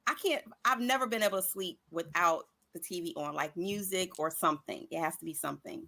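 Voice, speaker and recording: A woman's voice: on the low side, fairly quick, gravelly, bright, forceful tone, no room sound. A woman co-hosting a morning talk show, answering questions in a playful, sing-song way. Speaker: show co-host. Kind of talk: casual banter.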